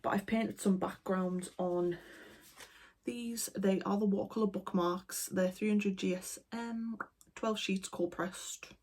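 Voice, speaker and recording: Breathy woman chatting casually. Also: mid-range voice, slow. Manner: chatty, rambling, relaxed. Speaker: art vlogger. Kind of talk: casual vlog chat. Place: at home indoors. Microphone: camera mic.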